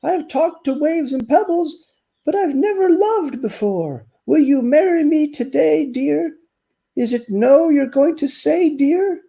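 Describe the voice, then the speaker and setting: A besotted little storybook turtle pleads for love in a squeaky, sing-song falsetto. His wooing voice swoops up high yet stays soft and mellow. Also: lively intonation, unhurried, creaky, full character voice, low-quality recording. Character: lovesick pleading male turtle.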